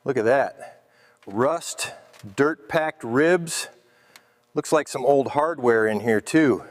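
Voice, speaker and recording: A man's voice: mid-range voice, slow. A man giving hands-on workshop commentary as a vehicle restorer, in short instructional remarks to helpers.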